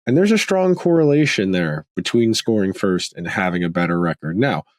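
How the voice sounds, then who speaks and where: A man with a fairly low voice hosts a sports podcast, roughened by a slight rasp. He talks casually and thinks aloud, often circling back to restart a phrase. The sound is dry and close, with no room around it. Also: lively intonation, normal speed, no room sound. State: slightly raspy from a throat tickle. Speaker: podcast host.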